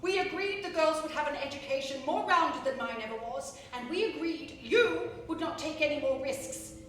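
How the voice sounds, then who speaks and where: A stern, high-voiced, weary mother. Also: lively intonation, normal speed, a bit bright, a little hiss or hum. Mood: stern, hurt. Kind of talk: stage radio play acting. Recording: echoey theatre, distant, music behind.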